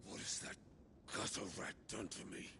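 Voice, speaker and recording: A man playing an enraged, vengeful wounded warlord in a video game, with strained, gravelly shouting and explosive bitterness. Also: normal speed, background noise, lightly acted. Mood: furious. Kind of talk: dramatic video game acting.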